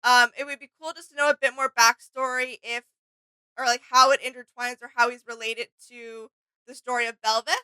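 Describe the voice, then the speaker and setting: Woman, high bright voice, smooth clear tone, casual reaction chat, agreeable and giggly. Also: flat delivery, fairly quick, no room sound, rough recording. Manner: agreeable, laughing often, hesitant ums. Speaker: reaction channel co-host.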